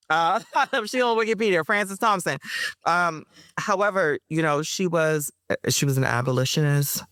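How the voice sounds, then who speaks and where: A woman being interviewed as a playwright, talking in a chatty, animated way, with frequent fillers and bits of laughter. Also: extremely low pitch, vocal fry, no echo, studio quality. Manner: animated, chatty, addresses listeners as y'all. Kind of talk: casual interview conversation.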